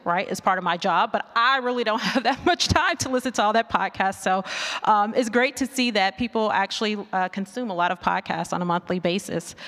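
A woman's voice: mid-range voice, fairly bright tone. American woman, middle-aged, informal upbeat presenter, stage microphone, long hall reverb. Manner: chatty, upbeat. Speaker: conference presenter, market research executive. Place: large indoor conference stage.

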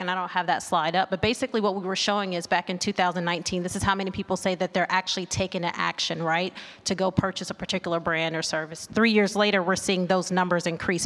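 A woman's voice: on the low side, fairly bright tone. A woman presenting at a conference in an informal, chatty, unscripted way. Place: conference hall with audience. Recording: reverberant hall.

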